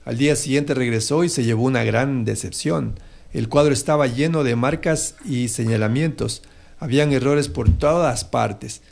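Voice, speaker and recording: A man's voice: medium pitch, normal speed, vocal fry, darkish tone. A man hosting a radio talk show, telling a moral story with many fillers and restarts.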